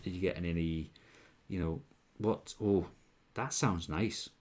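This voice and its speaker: A man's voice: low voice, unhurried, darkish tone. A man with a Welsh accent, mixed with Scottish and northern English, hosting a casual whisky-tasting live stream from home. He chats upbeat and loose with viewers, with lots of ums and restarts. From Wales.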